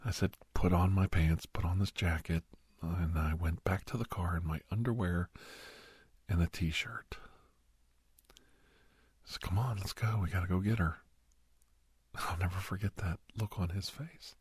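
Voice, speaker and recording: A man acting as a middle-aged doctor in an ASMR roleplay, with a low, very breathy and husky voice. He tells his memories softly, often sinking into a whisper, with earnest, halting pauses. The sound is close and very dry. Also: no echo, heavily acted. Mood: earnest, reminiscing. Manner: halting, hushed, dramatic pauses. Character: middle-aged male doctor, earnest, sentimental, reminiscing to a youngster. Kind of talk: ASMR roleplay storytelling, soft-spoken and half-whispered. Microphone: close, dry microphone.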